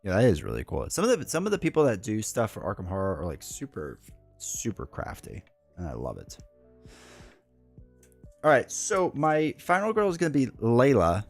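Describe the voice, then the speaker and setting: A man's voice: medium pitch, huge pitch swings, moderate pace, soft background music. A man with a stuffed-up cold, chatting in a rambling, casual way while he streams a solo board game live from home. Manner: rambling, chatty, full of asides and fillers.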